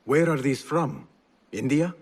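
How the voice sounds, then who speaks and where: An actor playing an earnest, guarded young adventurer with a husky voice and terse, restrained delivery in a video game. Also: male voice, medium pitch, very animated, unhurried. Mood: guarded, friendly. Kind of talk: video game cutscene acting.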